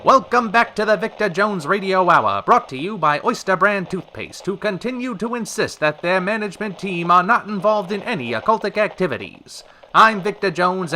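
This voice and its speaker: An actor playing a showy radio host of a retro variety hour, with polished, theatrical announcer patter in an old-time radio style. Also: male voice, high-pitched, pushed and bright, a little hiss or hum. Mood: showy, upbeat. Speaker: radio show host and interviewer.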